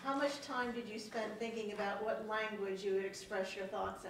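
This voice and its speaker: A woman's voice: medium pitch, normal speed. Woman asking a question from the crowd, far off mic, echoey hall, bit of hiss. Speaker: audience member asking a question. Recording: roomy, distant hall pickup.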